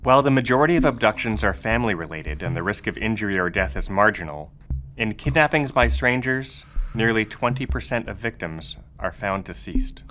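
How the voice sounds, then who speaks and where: A man's voice: fairly low pitch, lively intonation, brisk, fairly bright tone, faint music behind. A man acting in audio fiction as a grave narrator, reading factual crime accounts like a documentary. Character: grave male narrator recounting crime facts.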